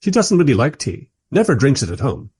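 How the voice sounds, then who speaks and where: A man plays a sardonic writer who narrates and interviews in a radio play. His mid-pitched voice is husky and very inflected, with a dry, measured, irreverent delivery. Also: very animated, darkish tone. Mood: wry. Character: wry, irreverent male writer narrating, politely probing interviewer.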